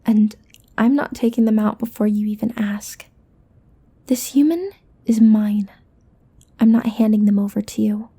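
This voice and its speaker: A woman's voice: medium pitch, unhurried, pauses often, warm tone, breathy edge. A woman playing a possessive, teasing succubus demon in an ASMR roleplay, often dropping into a whisper.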